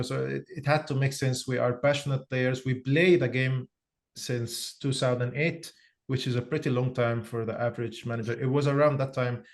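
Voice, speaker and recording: Egyptian guy in his thirties, gravelly voice, stop-start casual podcast chatter, trips over his opening words. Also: medium pitch. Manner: halting, repeats words when starting sentences. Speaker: podcast co-host.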